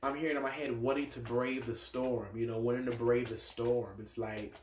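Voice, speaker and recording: A stuffed-up man reads tarot cards in a loose, rambling way, thinking aloud with plenty of fillers. His voice sits at an ordinary middle pitch. The room around him gives it a noticeable echo. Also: little pitch movement, normal speed, slight background noise. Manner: musing, rambling, many fillers. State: stuffed-up nose. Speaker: tarot reader. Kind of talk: casual tarot reading vlog. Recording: echoey room sound.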